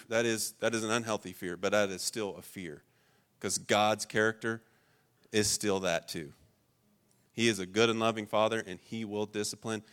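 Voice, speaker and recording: A man preaching a sermon, earnest and emphatic, with loud stressed points and quiet personal asides. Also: fairly low pitch. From rural Ohio. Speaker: pastor.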